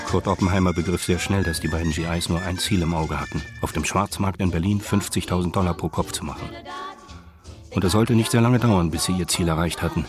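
Man, scripted radio drama narration, deep pitch, prominent music bed. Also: fairly quick.

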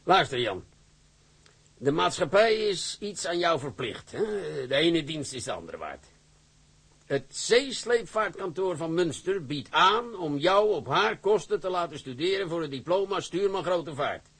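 An actor playing a stern older shipping company director in a radio drama, lecturing in an authoritative way. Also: male voice, on the high side, very animated, measured pace, a bit bright, husky, heavily acted.

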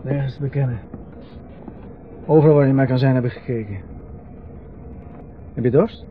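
Actor playing reclusive man, Netherlands Dutch accent, curt grumbling delivery, vintage radio drama, dull recording, clear background noise. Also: mid-range voice, moderate pace, natural voice. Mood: glum. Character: gruff, terse, reclusive man living on an island. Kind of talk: 1970s radio drama acting.

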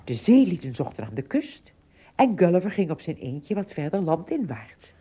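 Female narrator, low and husky, warm soft tone, expressive scripted storytelling. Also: normal speed.

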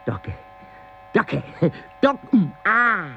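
An actor playing an agitated man in an experimental radio play, reciting in slow, broken phrases with wordplay. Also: high-pitched, wildly swooping pitch, creaky, sharp tone, soft background music, exaggerated voice. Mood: declaiming. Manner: drawn-out, fragmented, word-play delivery. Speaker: radio drama performer. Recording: dull broadcast tape with mains hum.

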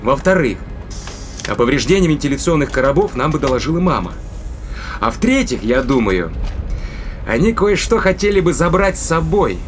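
An actor in an audio drama playing a determined spaceship captain who reasons emphatically and deliberately. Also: male voice, a little high, big pitch swings, soft background music. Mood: tense, insistent.

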